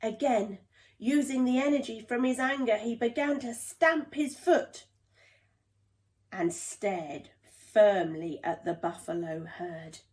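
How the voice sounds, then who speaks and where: Slow, expressive woman storyteller. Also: on the high side. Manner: slow, deliberate, dramatic storytelling. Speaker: play therapist reading a children's book. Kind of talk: expressive children's storybook narration.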